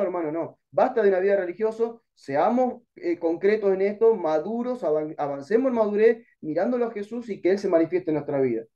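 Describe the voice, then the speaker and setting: A man's voice: high-pitched, warm tone, lo-fi sound. A middle-aged man with an Argentine accent teaching an online Bible class, earnest and exhorting, calling listeners brother. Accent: Argentine Spanish.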